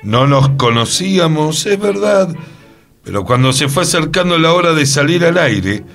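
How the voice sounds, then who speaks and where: A man's voice: a little high, lively intonation, normal speed, fairly bright tone, soft background music. A man acting in radio theatre as an earnest, mysterious co-host, with a Buenos Aires accent and a theatrical, suspenseful delivery. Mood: earnest, explaining. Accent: Argentine, Buenos Aires. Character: earnest, mysterious male co-host recounting strange events.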